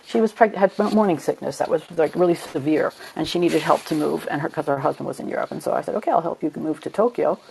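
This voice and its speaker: A woman's voice: low-pitched, fast talker, gravelly, hardly any pauses, a little mellow, slight background noise, rough recording. A middle-aged woman, a tour leader, telling rambling anecdotes in a casual chat and laughing along. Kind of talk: casual storytelling.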